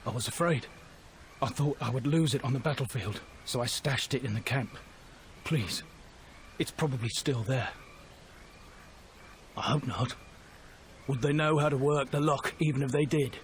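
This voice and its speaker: Guy voicing a wounded game soldier facing death, tired and regretful, mid-pitched. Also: moderate pace, pauses often, a little hiss or hum, lightly acted. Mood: anxious. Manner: weary, regretful, earnest. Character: wounded male soldier, the king's former guard, resigned to death. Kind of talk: video game cutscene acting.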